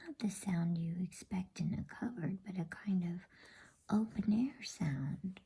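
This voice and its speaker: Woman whispering a bedtime story, super slow, dark gravelly breathy tone. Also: fairly low pitch. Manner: soft unvoiced whisper, slow and calm. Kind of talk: ASMR bedtime story reading.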